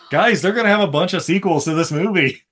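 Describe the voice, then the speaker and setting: A man hosting a casual movie-review podcast, talking loudly and playfully with frequent laughs. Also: high-pitched, moderate pace. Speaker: podcast host.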